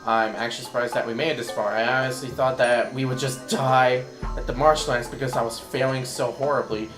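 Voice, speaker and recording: Hoarse male let's-play commentator, mid pitch, rambling and casual, roomy sound with game music underneath. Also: fairly even intonation, fairly quick. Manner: rambling, thinks aloud while playing.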